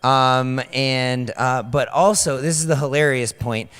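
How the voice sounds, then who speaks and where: A young man preaching a loose, chatty sermon on a church stage into a handheld mic. His voice is partly worn out and slightly hoarse, and he uses many fillers and restarts. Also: medium pitch, fairly even intonation, a little slow, fairly bright tone. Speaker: pastor. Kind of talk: casual sermon. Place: large dark stage in a church hall. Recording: long hall reverb.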